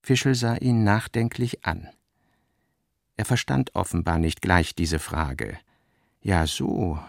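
Low, creaky male literary narrator. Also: slow pace, no echo, clean studio sound. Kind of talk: scripted literary narration for radio drama.

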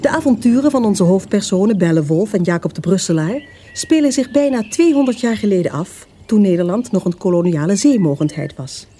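A woman narrating a radio play in a measured, formal storytelling manner. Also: medium pitch, animated, dark tone, a little hiss or hum.

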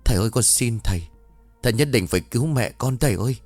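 A man's voice: medium pitch, lively intonation, fast talker, darkish tone, dry recording, faint music behind. A man voicing a young woman, an earnest and desperate daughter who speaks politely and pleads respectfully. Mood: pleading.

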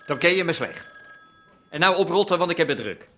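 Brusque crook, highish animated voice. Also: male voice, a little fast. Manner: brusque, dismissive. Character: hard, curt, mercenary male crime dealer. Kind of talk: naturalistic Dutch radio drama acting.

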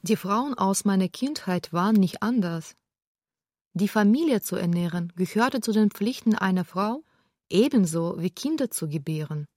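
A woman's voice: medium pitch, expressive, moderate pace, darkish tone, dry recording. Woman narrating, close and dry. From Belarus. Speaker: narrator and interviewer. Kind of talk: radio documentary narration.

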